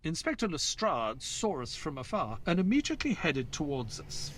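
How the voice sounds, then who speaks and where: A man plays a gentlemanly doctor recounting a case in an audio drama. His voice sits fairly high and swoops widely, yet his phrasing stays formal and measured. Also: huge pitch swings, a little hiss or hum. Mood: dramatic narration. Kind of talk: dramatic scripted storytelling in an audio play.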